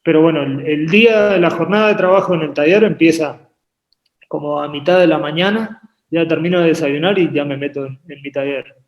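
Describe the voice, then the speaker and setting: Hesitant painter, roomy sound. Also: male voice, a little high, a little fast, dull audio. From Uruguay, raised in Argentina. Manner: hesitant, repeats words while thinking. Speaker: painter and artist guest. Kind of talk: relaxed interview conversation. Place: home painting studio. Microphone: video call. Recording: echoey room on a video call.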